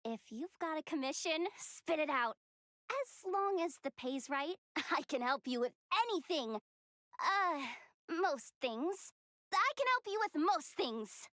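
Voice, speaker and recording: A woman's voice: high-pitched, expressive, a little slow, fairly bright tone, no room sound, clean studio sound. An actress voicing a cheeky young mercenary girl in a video game, cocky and a little sheepish. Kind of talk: video game character dialogue.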